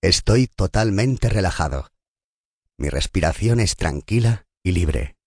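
A man narrating a scripted guided meditation in a calm, soothing and unhurried manner. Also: low voice, husky, no echo, clean studio sound.

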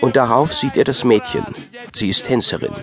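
A man's voice: medium pitch, moderate pace, a crowd in the background. A man narrating an audio drama from a script in a dryly wry storytelling manner. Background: music.

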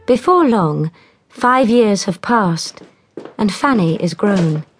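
An actress as a composed, wry storyteller, narrating a period literary drama in a measured way. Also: female voice, medium pitch, animated. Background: music.

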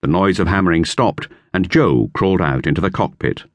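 A man narrating a children's classic in a lively storytelling manner. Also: low voice, brisk, no room sound, highs cut off. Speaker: audiobook narrator. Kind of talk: scripted narration. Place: recording studio.

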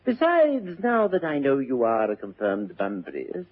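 Glib English society bachelor, airy theatrical wit, very animated pitch, thin old radio recording. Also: male voice, a little slow, breathy edge, full character voice. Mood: airy, teasing. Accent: upper-class English. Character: flippant, witty upper-class young bachelor nephew. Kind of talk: classic stage comedy radio acting. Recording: muffled vintage narrow-band radio recording.

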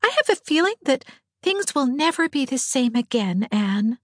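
Woman as high-voiced, plaintive sentimental teenage girl. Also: sing-song, unhurried, breathy edge, dry recording, clean studio sound, heavily acted. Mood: sad.

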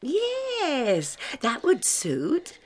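Bossy, animated English nanny. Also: female voice, sits high, drawn-out words, full character voice. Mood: approving, brisk. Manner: firm, scolding, homely, respectful but stubborn. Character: bossy, plain-speaking, no-nonsense English nursery nanny. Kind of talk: full-cast radio dramatisation.

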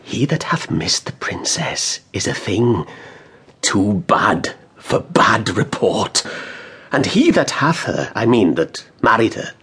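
Shakespeare courtier gossiping in a radio play, very husky voice, swoops up and down, emphatic storyteller. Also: male voice, fairly low pitch, big pitch swings, slow pace, a bit bright, full character voice. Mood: admiring, earnest. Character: gossiping male courtier, well-informed, admiring and confiding. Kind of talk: classical Shakespearean radio drama acting.

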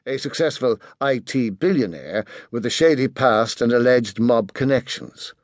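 A male narrator reads fiction with very lively, swooping intonation. His voice is mid-pitched and the recording is dry and close, in expressive audiobook style. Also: moderate pace, no echo.

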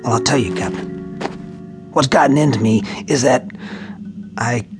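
An actor playing a troubled, weary army officer in a radio war drama, terse and brooding. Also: male voice, medium pitch, normal speed, music in the background, natural voice. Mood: haunted, troubled.

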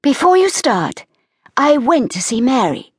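A woman voicing a glamorous, daring young socialite who talks in a bright, breezy, teasing way. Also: high-pitched, big pitch swings, unhurried, slightly rough, no echo, studio quality, pitched above the performer's own voice, full character voice.

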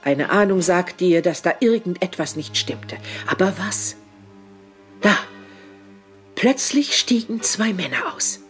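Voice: mid-range voice, lively intonation, takes it slowly, a bit bright, soft background music. A narrator reading scripted dramatic narration for a German audio drama.

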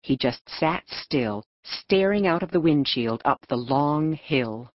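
A woman narrates a children's novel in a low voice that moves around expressively in pitch. It is a scripted audiobook reading, close and dry, with slightly dull, lo-fi sound. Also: animated, slow, no room sound.

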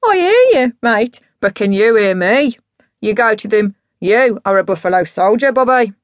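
A woman's voice: fairly high pitch, expressive, normal speed, no room sound, close to an everyday voice. A woman voicing a drunk, boastful, sweary middle-aged father with big musical dreams, in a bombastic, declamatory way, in an audiobook story. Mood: drunken, grandiose.

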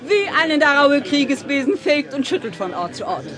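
A woman's voice: high voice, very animated, brisk, crowd noise. An actress playing a brash, jovial army sutler woman in a German radio play, speaking hearty declamatory verse. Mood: boisterous, cheerful.

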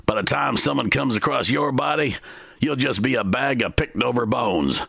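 A man voicing a gruff, suspicious gunman who barks threats in a Western story. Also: mid-range voice, normal speed, a bit bright, no room sound, studio quality, pitched above the performer's own voice, exaggerated voice. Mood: menacing. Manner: barking, threatening.

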